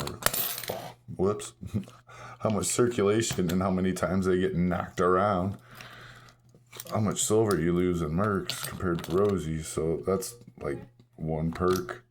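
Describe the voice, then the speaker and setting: Hoarse male vlogger, very low, loose self-correcting chat. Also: darkish tone, a little hiss or hum. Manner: rambling, self-correcting, chuckles at himself. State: hoarse, partly lost voice. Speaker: coin-collecting vlogger. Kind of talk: casual show-and-tell vlog.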